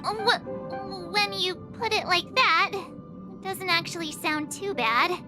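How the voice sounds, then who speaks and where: Very high-voiced, expressive girl adventurer. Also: takes it slowly. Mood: worried, whiny. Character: cute, expressive, easily alarmed teenage girl adventurer. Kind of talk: video game cutscene acting. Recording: music behind the voice.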